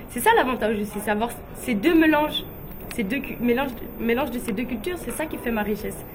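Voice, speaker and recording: A young woman from Guinea telling personal stories in a relaxed, chatty way, with frequent fillers and light laughs. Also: on the high side, normal speed, a little hiss or hum, rough recording. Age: young adult. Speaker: interviewee, beauty pageant runner-up.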